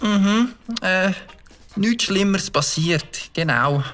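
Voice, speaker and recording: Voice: medium pitch, animated, slow pace, a bit bright. Mike, an adult voicing a stammering Swiss boy. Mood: meek. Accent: Swiss German. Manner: hesitant, stammering with fillers. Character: young boy, mischievous but sheepish. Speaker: child character. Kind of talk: children's audio drama acting.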